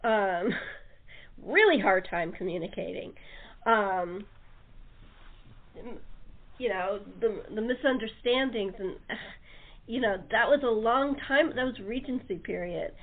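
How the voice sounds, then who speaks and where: Halting woman host on muffled narrow-band audio. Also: mid-range voice. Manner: halting, rambling, often restarting sentences. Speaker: radio show host. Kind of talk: casual chat interview.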